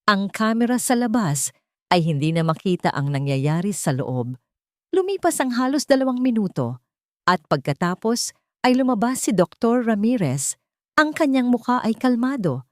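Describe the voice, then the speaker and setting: A woman narrating a scripted dramatic story in a suspenseful way, pausing between short phrases. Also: fairly high pitch, darkish tone, dry recording, studio quality.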